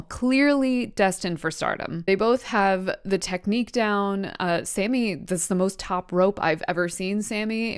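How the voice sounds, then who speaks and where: Female vlog host, clean smooth voice at a middle pitch, effusive and informal commentary.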